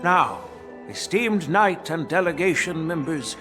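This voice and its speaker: A man playing a composed, formal elder general and grandfather in a video game, with measured, courteous speech. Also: a little high, expressive, music in the background. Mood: formal, dignified. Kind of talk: English game voice acting.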